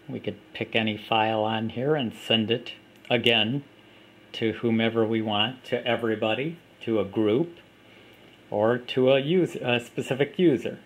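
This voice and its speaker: A man giving a plain, unhurried walkthrough of an app, recorded on a camera mic indoors at a table. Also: medium pitch. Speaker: app developer demonstrating his app. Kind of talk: casual product demo.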